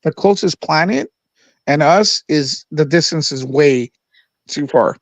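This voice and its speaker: A man's voice: on the high side, measured pace, no echo. A man hosting a live talk stream who chats casually and rambles, with frequent fillers and restarts. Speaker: livestream host. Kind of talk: casual chat. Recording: dull lo-fi audio.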